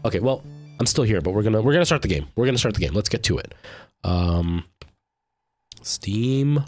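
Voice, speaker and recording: Young guy streaming from home, stuffy nose, kinda low voice, dry close sound, rambly. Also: expressive, normal speed, no room sound, soft background music. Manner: rambling, chatty, frequent fillers and restarts. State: stuffed up with a lingering cough. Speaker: game streamer. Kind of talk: casual live-stream chat with viewers.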